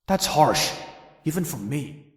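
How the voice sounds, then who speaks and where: An actor playing a cocky, sardonic young vigilante antihero, moving between wry noir narration and wisecracking dialogue. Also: male voice, fairly high pitch, sing-song, slow. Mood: cool defiance. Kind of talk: noir first-person narration and dramatic dialogue. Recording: echoey, long reverberant tail.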